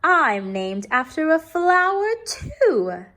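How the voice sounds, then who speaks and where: A woman voicing a kind, dreamy music teacher for a children's storybook, deliberate and emphatic. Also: sits high, big pitch swings, sharp tone, a little hiss or hum, pitched above the performer's own voice, heavily acted. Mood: proud.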